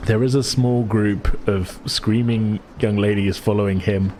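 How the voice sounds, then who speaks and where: A man's voice: on the low side, fairly even intonation, unhurried, a little mellow, slight background noise, low-quality recording. A man acting as game master, improvising descriptive narration for a tabletop game in a hesitant, searching way.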